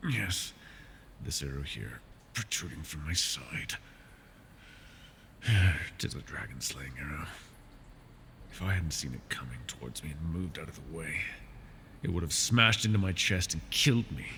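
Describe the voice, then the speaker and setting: A man's voice: a bass voice, expressive, a little slow, vocal fry, long pauses, a bit bright, slight background noise, full character voice. A man voicing a proud, wounded male dragon in an ASMR fantasy roleplay, haughty and scornful while he is tired and in pain. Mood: pained, wary.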